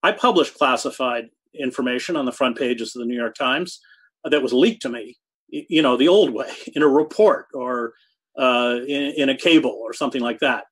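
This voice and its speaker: A man in his sixties answering interview questions over a video call from a home study, speaking thoughtfully with halting restarts and hard emphasis on key words. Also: medium pitch, a bit bright, slightly rough. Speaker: guest, journalist. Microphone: video call webcam.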